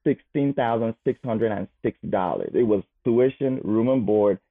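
A man in his thirties, a guest telling his life story in a casual, animated way. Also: mid-range voice, normal speed. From Nigeria. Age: 30s. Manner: animated, repeats words as he goes. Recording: phone-like narrow sound.

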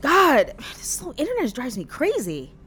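Chatty, paranoid young woman, earnest about odd notions, very lively pitch, audio drama over faint rumbling noise. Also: normal speed, exaggerated voice. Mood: exasperated. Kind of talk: audio drama acting, conversational.

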